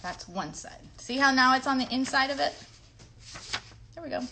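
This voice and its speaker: A woman with a high, bright voice leads a craft demonstration for children. She keeps directions simple, encourages, and checks in with questions, with faint noise behind her. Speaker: children's craft show host.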